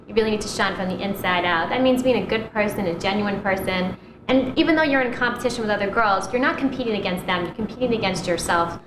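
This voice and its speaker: A woman with a high-pitched voice, a former pageant contestant, giving quick, upbeat advice. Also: echoey room, background noise. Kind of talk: instructional video presentation.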